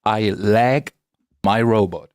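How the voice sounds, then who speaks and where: Man from Europe, low voice, blunt teasing, dry close sound, casual chat. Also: takes it slowly, darkish tone, dry recording. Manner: blunt, teasing, hesitant when searching for words.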